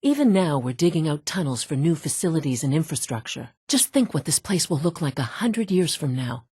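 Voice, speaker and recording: A poised woman scientist from a video game, with a notably low voice and a husky edge. She speaks in measured, formal sentences and stays matter-of-fact, like someone used to running a facility. The sound is dry and close, with no room around it. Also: very animated, a little mellow, no room sound, full character voice. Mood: composed, informative. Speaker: video game character, facilities division head. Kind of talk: scripted video game dialogue.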